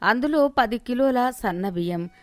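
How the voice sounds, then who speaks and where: A woman's voice: fairly high pitch, lively intonation, normal speed, darkish tone. A woman reading a scripted moral story as its narrator. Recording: music behind the voice.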